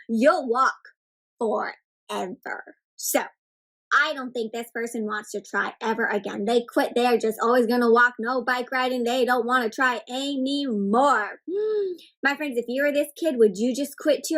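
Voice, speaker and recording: A woman's voice: on the high side, measured pace, a bit bright. A woman hosting an interactive picture-book read-aloud, speaking expressively to children with exaggerated gasps and frequent questions.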